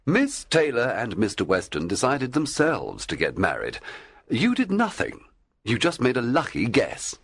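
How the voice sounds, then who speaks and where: A man playing a frank, sensible gentleman in an audiobook, with a husky voice and a blunt, emphatic manner. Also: mid-range voice, huge pitch swings, measured pace, no room sound, clean studio sound, exaggerated voice. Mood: disapproving. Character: frank, sensible, brisk gentleman, mildly scolding. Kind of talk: audiobook character acting.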